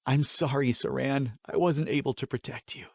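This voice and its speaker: A man's voice: medium pitch, fairly even intonation, moderate pace, vocal fry, a little mellow, husky, dry recording. A man playing an earnest young fantasy hero, dry sound. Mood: sorrowful. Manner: earnest, vowing. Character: wounded, determined young male fantasy hero.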